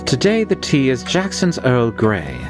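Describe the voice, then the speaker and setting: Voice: medium pitch, huge pitch swings, measured pace, over music. An actor playing an unflappable male butler in a manga dub, formal, courteous and composed. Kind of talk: scripted anime-style manga dub acting.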